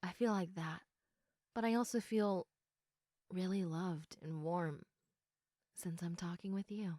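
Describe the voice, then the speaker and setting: Slow, soft, sleepy girlfriend, acted by a woman. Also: fairly low pitch, dry recording, studio quality. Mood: drowsy, affectionate. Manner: soft, unhurried, often giggly, dropping into whispers. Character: sleepy, affectionate, reassuring girlfriend cuddling her partner. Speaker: audio roleplay performer. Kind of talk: intimate girlfriend roleplay, sleep-aid ASMR.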